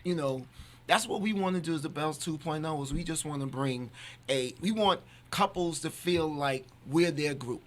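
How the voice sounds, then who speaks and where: A man's voice: a little high, normal speed. An American man in his fifties, a singer, chats casually as a studio guest and tells stories, repeating phrases for emphasis. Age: 50s. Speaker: singer, guest in a married duo. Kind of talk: casual chat, storytelling. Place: radio studio.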